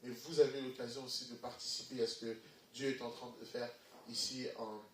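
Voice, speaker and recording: An energetic man, addressing a church congregation as a guest ministry speaker. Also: mid-range voice, brisk, slight background noise. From Belgium. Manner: energetic, upbeat. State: has a cold, sniffly. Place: church hall. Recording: echoey hall from far off.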